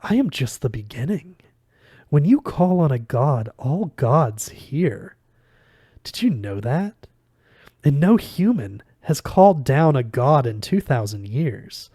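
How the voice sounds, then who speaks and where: A man's voice: medium pitch, sing-song, dark tone, husky, no echo, clean studio sound, exaggerated voice. A man voicing a cruel, dismissive fox god in an audiobook, speaking formally and measuredly with a French accent. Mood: condescending, menacing. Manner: measured, condescending, formal phrasing.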